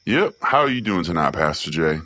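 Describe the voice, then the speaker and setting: A man's voice: very deep voice, sing-song, a little fast, pushed and bright, dry recording, exaggerated voice. A man playing a crude, dim, laid-back trailer-park sidekick trading oblivious, slangy banter on a comedy radio show.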